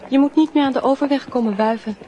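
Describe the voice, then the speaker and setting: A woman's voice: sits high, fast, warm tone, highs cut off, natural voice. An actress playing a reserved, aloof teenage schoolgirl in a radio drama, terse and reticent. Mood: reserved, cool. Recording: noisy old radio drama recording.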